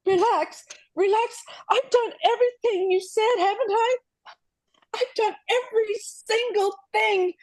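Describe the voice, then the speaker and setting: Frightened mother acted by a woman: high pitch, halting and pleading, desperate, for a dramatic script reading. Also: darkish tone, low-quality recording. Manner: halting, pleading, repeats herself. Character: desperate, frightened mother obeying her child's kidnapper. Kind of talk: screenplay table read.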